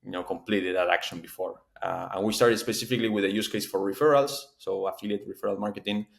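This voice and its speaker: A man, a startup founder speaking as a guest in a casual podcast interview and talking in a measured way with many fillers and restarts. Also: fairly low pitch, creaky. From Argentina.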